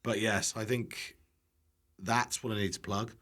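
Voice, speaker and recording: A man's voice: fairly low pitch, steady tone, a little slow, fairly bright tone. A British man chatting casually as a podcast guest, playful and self-deprecating, often rambling. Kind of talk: casual chat.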